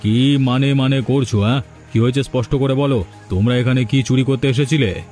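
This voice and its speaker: পুরুষ কণ্ঠে নরম স্বরের কার্টুনের গ্রাম্য লোক. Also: male voice, medium pitch, fairly even intonation, fairly quick, a little mellow, soft background music, close to an everyday voice. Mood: suspicious. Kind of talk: cartoon dialogue.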